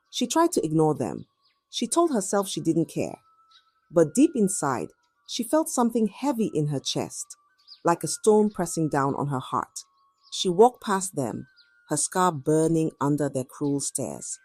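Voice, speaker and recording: Woman storyteller, lively swooping intonation, dramatic measured phrasing, dry close sound, music behind. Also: low-pitched, no echo. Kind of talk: dramatic scripted folktale narration.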